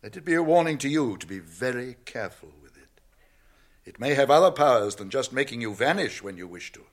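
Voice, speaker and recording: An actor playing a wise, watchful old wizard with a British accent in a radio drama, speaking in a measured, cautionary and cryptic way. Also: male voice, medium pitch, animated, close to an everyday voice. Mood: grave, cautionary.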